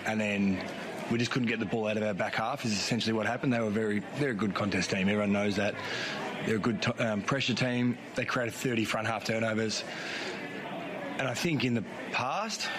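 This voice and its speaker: Hoarse coach, low voice. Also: male voice, background noise. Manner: halting, frank, many fillers and restarts. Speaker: head coach. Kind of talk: post-match press conference answers.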